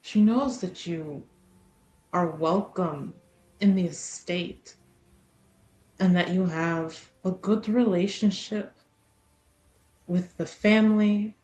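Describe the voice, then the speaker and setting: Slow, low-voiced woman in a roomy space. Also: mellow. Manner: measured, deliberate, pausing between phrases. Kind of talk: narrating and speaking in character in a tabletop roleplaying game. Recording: roomy echo, faint music behind. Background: faint music.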